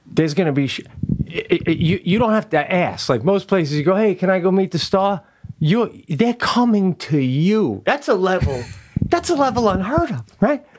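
Middle aged guy on a podcast, high voice that jumps all over, cursing and laughing in a casual chat. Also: normal speed. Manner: animated, swears freely, laughs often. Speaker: podcast co-host. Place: small indoor studio. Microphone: studio mic on an arm.

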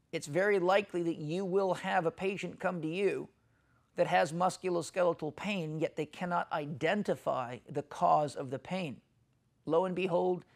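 Middle-aged man lecturing, gravelly and high. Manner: measured, explanatory, lists examples methodically. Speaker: health educator. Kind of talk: instructional lecture to camera. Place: indoors in a library with bookshelves. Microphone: lapel mic.

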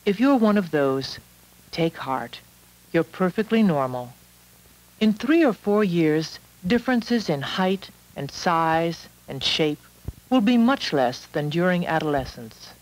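A woman reading scripted narration for an educational film in a measured, instructional manner. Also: fairly low pitch, animated, poor audio.

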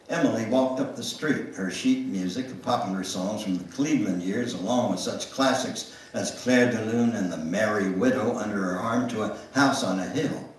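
An older man giving a dramatic memoir reading in a deliberate, theatrical storytelling manner. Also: fairly low pitch, a little hiss or hum. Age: older, 70s or above. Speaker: guest speaker reading aloud. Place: church sanctuary, at a lectern. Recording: echoey room, recorded from far off.

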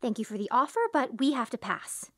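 A woman playing an excitable, naive and polite young mob boss's daughter in a comedy audio drama. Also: fairly high pitch, fairly quick, breathy edge, exaggerated voice. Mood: polite, excited.

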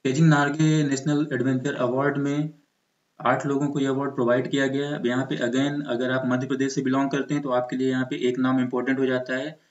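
A man's voice: mid-range voice, flat delivery, a little fast. An Indian man teaching exam preparation in an explanatory lecture style, mixing in English terms. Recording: muffled, echoey room recording.

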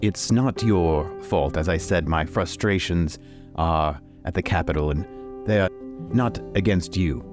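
Man playing a low-voiced, weary fantasy baron. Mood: weary, conciliatory. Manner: formal, measured, hesitant pauses. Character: weary, stern, softening older male fantasy baron. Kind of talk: in-character tabletop roleplay. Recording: music playing behind.

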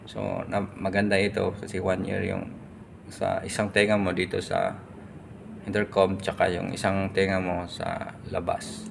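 A man in his thirties with a Filipino accent, giving a casual product review in short, halting bursts as he searches for words. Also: deep voice, monotone, creaky, noisy background. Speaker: vlogger reviewing gear. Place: large tiled indoor hall. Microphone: phone at arm's length.

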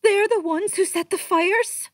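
An earnest, defiant girl of noble birth in a fantasy audio drama. Her voice is extremely high and slow-paced, recorded dry. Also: dry recording. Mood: anxious questioning.

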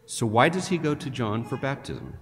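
An older man, a deacon, delivering a measured homily read from a prepared text at a lectern in a large cathedral. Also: medium pitch, huge pitch swings. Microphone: headset mic, standing at a lectern. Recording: long reverb of a large church.

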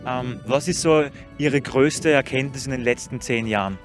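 A man's voice: medium pitch, normal speed, soft background music. A young man doing street interviews outdoors in a city, talking into a handheld microphone in an upbeat, promotional way. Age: young adult.

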